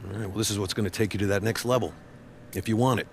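A man's voice: on the low side, fairly even intonation, very fast, a little hiss or hum, lightly acted. A man playing a middle-aged athletic trainer in a video game, with an American accent and a firm, matter-of-fact coaching manner. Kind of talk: naturalistic video game cutscene acting.

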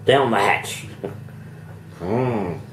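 A middle-aged Australian man with a hoarse voice, chatting casually to camera in a home vlog and laughing often between remarks. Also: on the low side, extremely slow, a bit bright, a little hiss or hum. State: hoarse, losing his voice. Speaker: vlogger. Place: home, indoors and in a backyard. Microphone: camera mic.

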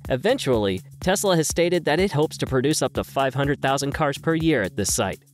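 A man's voice: mid-range voice, lively intonation, brisk. A man reading a scripted explainer as a video narrator. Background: background music.

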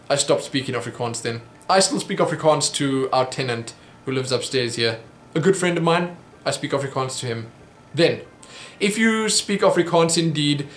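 A young South African man talks into a desk webcam, and his voice sits a little high for a man. He rambles through viewer questions in a loose, chatty way, with plenty of ums. The small room gives off a noticeable echo. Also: a bit bright, slight background noise. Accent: South African English. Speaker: vlogger answering viewer questions. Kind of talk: casual Q&A monologue. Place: small home office room.

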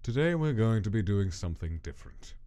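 A man playing a cold, stern scientist monster in an animated comic dub, speaking in a measured, formal and commanding way. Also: fairly low pitch. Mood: cold, detached. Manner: measured, formal, precise diction.

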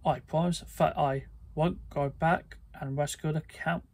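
A man's voice: a little high, steady tone, measured pace, a little mellow. A man voicing an earnest young male hero in a storybook.

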